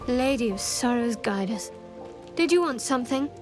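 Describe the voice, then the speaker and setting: A woman playing a guarded, secretive young cleric in a video game, speaking in a reserved, measured, dryly wry way. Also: a little high, lively intonation, music in the background, close to an everyday voice. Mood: solemn. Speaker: video game companion character. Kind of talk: dramatic game dialogue.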